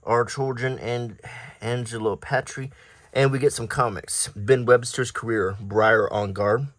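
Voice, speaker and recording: A man reading an old newspaper aloud in a low-key, casual ASMR style, adding offhand comments as he browses. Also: steady tone, measured pace, a bit bright, no room sound, clean studio sound.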